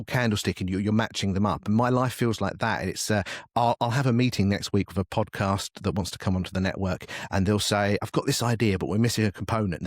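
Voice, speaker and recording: A man's voice: fairly low pitch, dry recording, studio quality. A man being interviewed as a podcast guest, chatting casually, thinking aloud and rambling through examples. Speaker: podcast guest, podcast network manager.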